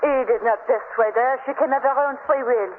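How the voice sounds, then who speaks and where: A woman's voice: a little high, brisk, natural voice. An actress playing a frightened, evasive European servant woman with a French accent in 1940s radio style. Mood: defensive. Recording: noisy, tinny old radio recording.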